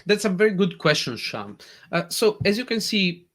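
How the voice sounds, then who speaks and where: A man with an Italian accent, a native Italian speaker, eagerly walking viewers through a live software demo as a tech presenter. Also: high voice, moderate pace. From Italy. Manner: eager, animated explaining.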